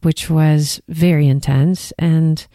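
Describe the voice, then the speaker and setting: A woman with a Texan accent co-hosting a podcast in casual chat. She talks in an animated way and laughs at herself. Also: deep voice, slow pace, no echo, studio quality. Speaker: podcast co-host.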